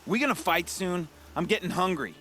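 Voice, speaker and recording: Cheeky male grunt soldier, fairly high voice, game banter, faint music. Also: lively intonation, fairly quick, sharp tone. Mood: teasing. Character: cheeky, ever-hungry soldier teasing his captain. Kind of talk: cinematic video game voice acting.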